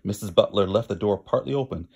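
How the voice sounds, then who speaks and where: A man's voice: fairly low pitch, fast talker, darkish tone, breathy edge. A man reads a picture book aloud in a theatrical way, drawing out words for emphasis. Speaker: storybook reader.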